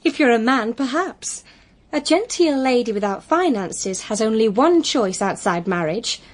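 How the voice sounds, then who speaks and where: High-voiced, sceptical young Englishwoman. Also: expressive, measured pace. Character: genteel, pessimistic young English sister. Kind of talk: period audio drama acting.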